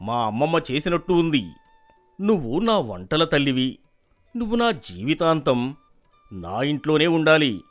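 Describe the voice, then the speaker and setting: A man voicing an imperious village landlord in an animated moral story, bossy and exclamatory, effusive when pleased and quick to rage. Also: a little high, faint music behind. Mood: delighted.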